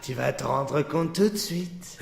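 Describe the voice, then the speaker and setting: A man doing a gravelly, sneering inner voice. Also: on the high side, lively intonation, measured pace, background noise, full character voice. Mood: sly. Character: mocking male voice in a man's head, cruel. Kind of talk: amateur audio drama. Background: music.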